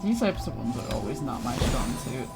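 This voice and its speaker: A woman's voice: rumbling low, sing-song, moderate pace, loud music behind the voice. A woman livestreaming a video game, chatting casually with viewers in a playful, exclamatory way and muttering to herself as she plays. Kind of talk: casual gaming chat with viewers, reacting to play.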